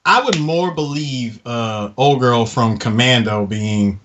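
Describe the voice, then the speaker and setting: A man co-hosting a podcast in casual movie-review banter, blunt and quick to cut in with jokes. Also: mid-range voice, fairly bright tone, muffled recording, slight background noise. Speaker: podcast co-host.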